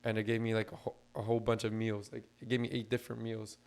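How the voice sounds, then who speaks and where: A man co-hosting a laid-back podcast talks in a fairly level, even tone with little rise and fall. His voice is a bit hoarse and scratchy, like it's only just coming back. He explains things loosely, with plenty of fillers along the way. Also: lowish voice, quick pace. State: hoarse, recovering lost voice. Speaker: podcast co-host. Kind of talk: casual podcast chat.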